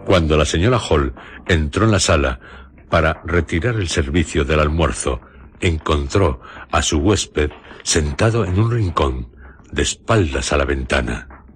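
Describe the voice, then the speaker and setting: A man with an accent from Spain narrating a radio drama in a measured, literary manner. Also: extremely low pitch, lively intonation, breathy edge.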